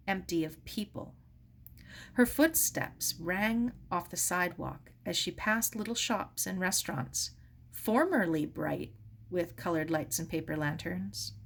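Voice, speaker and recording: A woman narrates a storybook at an unhurried pace. Her voice sits at an average pitch, and she reads with measured expression, giving each phrase its own space. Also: vocal fry, a bit bright. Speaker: story reader.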